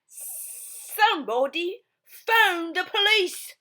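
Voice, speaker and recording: A woman voicing the father in a read-aloud picture book, booming out his lines. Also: animated, extremely slow, bright, forceful tone, full character voice. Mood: alarmed. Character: shouting storybook father bear type, man.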